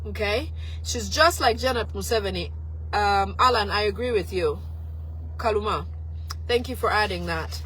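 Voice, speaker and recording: A woman, hoarse and raspy from a flu, giving critical political commentary on a live stream and answering viewers' comments. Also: medium pitch, moderate pace, a bit bright, a little hiss or hum, low-quality recording. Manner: talks to viewers and reads their comments aloud; pointed and critical, with frequent fillers and restarts.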